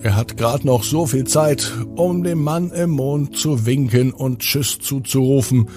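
A man telling a bedtime story for children, expressively and addressed straight to the young listener. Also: medium pitch.

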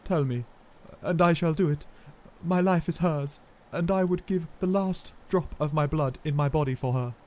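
A man doing a desperate young gentleman. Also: on the high side, moderate pace, pitched above the performer's own voice, natural voice. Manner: breathless, pleading. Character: eager anxious young gentleman lover.

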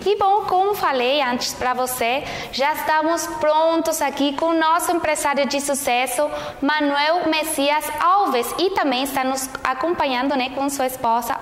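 A woman's voice: sits high, a little slow, faint music behind. A young woman hosting a studio interview with a lapel mic, asking friendly questions with small hesitations. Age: young adult. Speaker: TV host. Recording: echoey studio with long reverb.

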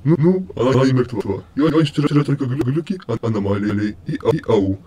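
A man's voice: mid-range voice, lively intonation, fast talker, mellow, slight background noise, close to an everyday voice. A man voicing an insane, menacing skeleton in an amateur comic dub, with glitchy stutters and repeated words. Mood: casual, unhinged.

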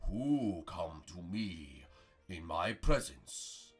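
A man's voice: low-pitched, sing-song, breathy edge, faint music behind, voice pushed down, full character voice. A man voicing a solemn, self-important mystical guide in an improvised toy story. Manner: pompous, slow proclaiming.

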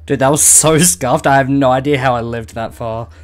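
A man's voice: mid-range voice, steady tone, moderate pace, soft background music. A man chatting casually on voice chat while playing a game, joking with friends and swearing when he dies. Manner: laid-back, laughs a lot, swears when he dies. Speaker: gamer streaming with friends on voice chat.

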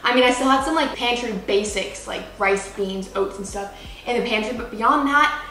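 A woman's voice: on the high side, moderate pace, bright, forceful tone, slight background noise. A woman of twenty chatting casually in her home kitchen as a vlogger, using lots of "like" fillers, recorded by a camera a few feet away. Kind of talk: casual grocery haul chat. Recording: echoey kitchen, distant mic.

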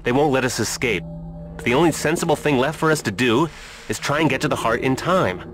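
An actor playing a weary, idealistic scientist in a sci-fi game, speaking earnestly and deliberately. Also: male voice, mid-range voice, animated, over music, natural voice. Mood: impassioned. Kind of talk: video game cutscene acting.

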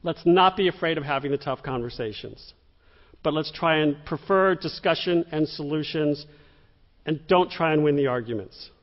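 Brief: middle-aged male moderator, slightly high voice, echoey hall. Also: normal speed. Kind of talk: moderating a public Q&A. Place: synagogue stage before an audience. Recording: dull echoey hall recording.

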